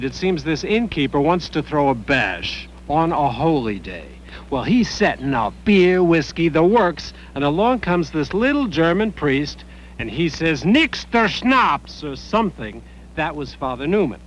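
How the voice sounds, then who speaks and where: An actor playing a breezy, wisecracking American journalist, telling an anecdote in colloquial, animated style. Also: male voice, a little high, slight background noise, low-quality recording. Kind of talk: acted documentary-style interview.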